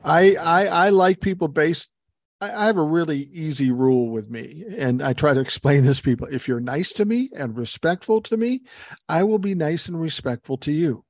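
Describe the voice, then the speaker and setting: A man's voice: a little high, normal speed, no echo, studio quality. A man in his sixties, a podcast guest on a video call, talking bluntly and emphatically with lots of swearing. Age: 60s. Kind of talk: casual chat over a video call.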